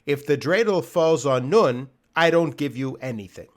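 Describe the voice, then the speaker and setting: A man's voice: on the high side, expressive, moderate pace, lightly acted. A man playing a wily, unflappable trickster in a dramatic storybook reading, teasing and casual with mock innocence. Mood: sly, coaxing.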